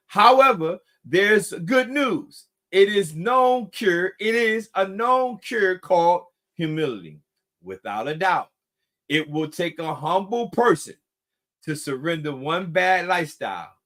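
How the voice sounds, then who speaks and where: A man's voice: high-pitched, pushed and bright. A middle-aged man leading a recovery group, giving a motivational talk that repeats phrases for emphasis and calls the listeners y'all.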